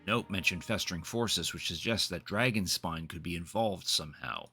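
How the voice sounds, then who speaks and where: A man's voice: low-pitched, moderate pace, creaky. A man hosting a game livestream, reading dialogue aloud and chatting to viewers, sometimes stumbling over and repeating words. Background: video game music.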